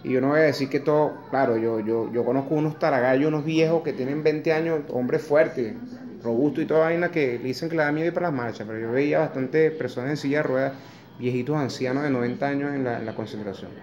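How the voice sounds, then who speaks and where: A man's voice: medium pitch, fast talker, darkish tone, muffled recording. A Venezuelan man in his forties rants into a phone held close in his kitchen, blunt and indignant, dropping curses and sarcastic jabs. His voice sits mid-range and plain. The room around him rings with a bouncy echo. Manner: indignant, blunt, swears, sarcastic mocking asides. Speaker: vlogger. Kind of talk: angry opinion rant to camera. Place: kitchen at home indoors. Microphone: phone camera held close.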